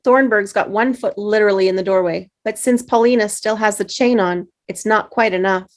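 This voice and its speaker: A woman reading the stage directions at a script table read, steady with small slips. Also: mid-range voice, brisk.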